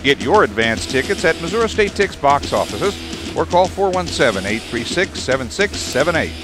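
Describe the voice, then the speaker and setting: A man's voice: a little high, sing-song, normal speed, over music. Punchy male radio ad announcer. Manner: punchy promotional delivery. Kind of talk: radio ad read.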